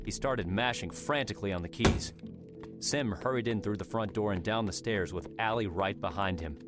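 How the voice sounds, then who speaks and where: A man narrating an audiobook in a steady, measured storytelling voice. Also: fairly low pitch. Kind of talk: scripted narration. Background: music.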